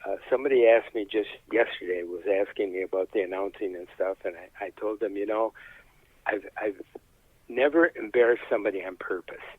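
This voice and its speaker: Plain-spoken older man, low voice. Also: a little fast, husky, no room sound, narrow, tinny audio. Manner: plain-spoken, folksy, hesitant. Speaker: high school sports announcer being interviewed. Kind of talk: casual interview conversation.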